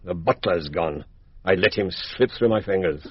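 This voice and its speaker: An actor playing a blunt, confident English police inspector, chatty and matter-of-fact, in a radio drama. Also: male voice, sits low, normal speed, slightly rough, no echo. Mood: disgruntled. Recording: dry, dull lo-fi radio recording.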